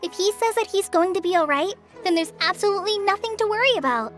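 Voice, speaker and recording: A woman playing a young trainee forest ranger in a video game, gentle, modest and polite, speaking in an earnest, reassuring way. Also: very high voice, expressive, quick pace. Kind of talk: animated video game voice acting. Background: music.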